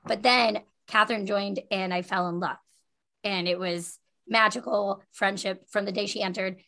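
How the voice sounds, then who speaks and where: A woman's voice: mid-range voice, fairly even intonation, normal speed, bright, forceful tone. A woman hosting a podcast in a casual, chatty way with a friend, effusive and giggly in manner. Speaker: podcast host.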